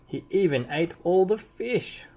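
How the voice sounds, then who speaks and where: A man reading a children's story aloud expressively, with deliberate emphasis and drawn-out key words. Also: high-pitched, expressive, darkish tone. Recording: clearly audible background noise.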